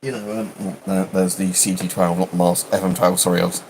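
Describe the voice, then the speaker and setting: A man's voice: low voice, little pitch movement, fairly quick, background noise. A British man chatting casually on a livestream, rambling and hesitant, often interrupting himself. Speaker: livestream host.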